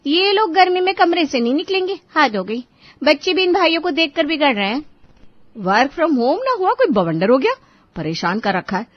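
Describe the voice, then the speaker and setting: Woman acting overworked young daughter-in-law, plaintive, high-pitched, cartoon moral tale, dry sound. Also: very animated, moderate pace, dry recording, highs cut off. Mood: fed up. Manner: plaintive, respectful to her elder.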